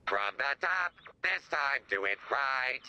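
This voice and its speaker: A man's voice: medium pitch, animated, slow, pushed and bright, full character voice. A man voicing a cruel, contemptuous robot drum teacher in a video game, harsh and withering. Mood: scornful. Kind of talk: video game character dialogue.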